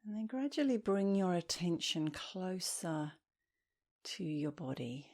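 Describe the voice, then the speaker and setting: A woman leading a guided meditation as an instructor, speaking softly and soothingly, with gentle, breathy phrase endings. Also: lowish voice, lively intonation. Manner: soothing, gentle, unhurried with long pauses.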